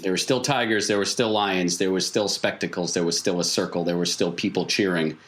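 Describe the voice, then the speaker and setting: A man's voice: low-pitched, steady tone, brisk, fairly bright tone, slight background noise. A man acting as game master, improvising explanations in a tabletop story game with emphatic, repeated phrasing.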